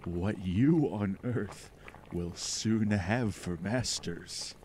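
Deep, slow, menacing alien head. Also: male voice, darkish tone, a little hiss or hum. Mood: gloating contempt. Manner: slow, haughty, deliberate. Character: gloating alien head from a meteor, menacing conqueror. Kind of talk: retro radio horror acting.

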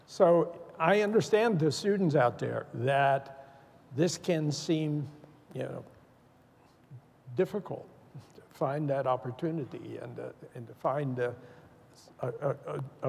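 Older male panelist, gravelly and deliberate, echoing hall. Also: medium pitch, a little mellow. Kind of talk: panel discussion. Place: stage in a large auditorium. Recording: reverberant auditorium sound.

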